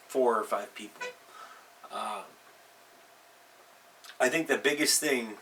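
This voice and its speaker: A middle-aged man hosting an unscripted advice vlog as a game master, speaking haltingly and leaning hard on stressed words. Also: medium pitch, bright, forceful tone. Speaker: host, tabletop game master. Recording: distant mic with faint background noise.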